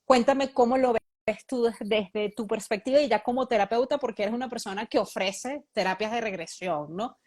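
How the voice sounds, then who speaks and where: A woman hosting an interview, asking long questions in a thoughtful, measured way and pausing to search for words. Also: a little high, darkish tone. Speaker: host, reading mediator.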